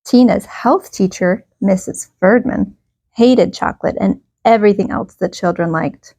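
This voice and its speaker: A woman reading a children's bedtime story aloud, expressively and with emphatic, drawn-out phrases. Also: mid-range voice, dark tone, breathy edge, no room sound.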